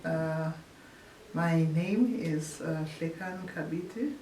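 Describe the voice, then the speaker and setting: A woman from Zimbabwe, a researcher telling her story in an interview, often pausing and restarting her phrases. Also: low-pitched, warm tone. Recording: echoey room, distant mic, faint hiss.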